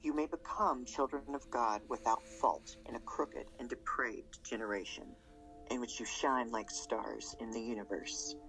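A man narrates an audio drama in a scripted storytelling style. His voice sits at a medium pitch, and music plays clearly behind him. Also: normal speed, pushed and bright, rough recording. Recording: music under the voice.

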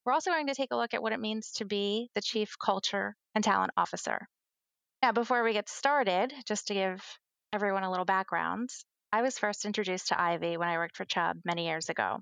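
Woman, podcast host, gravelly voice, dry sound, earnest prepared delivery. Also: medium pitch, brisk, a bit bright, no echo, poor audio. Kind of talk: podcast interview.

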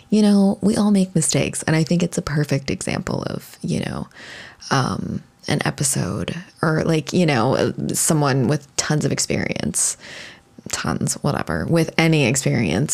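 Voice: mid-range voice, very animated, a little slow, gravelly. A podcast host talking casually on their own, rambling with lots of fillers and restarts. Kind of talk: casual solo podcast monologue.

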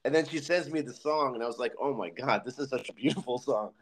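A man's voice: medium pitch, slight background noise, rough recording. A man hosting a casual interview, talking eagerly with many restarts and fillers. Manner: enthusiastic, halting with many restarts. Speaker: podcast host.

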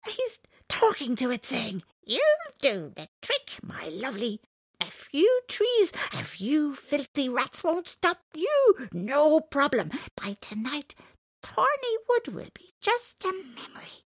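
A woman voicing a small frightened bird, breathless and gasping in high whispers. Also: very animated, measured pace, creaky, hardly any pauses, fairly bright tone, husky, no room sound, clean studio sound, full character voice. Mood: panicked.

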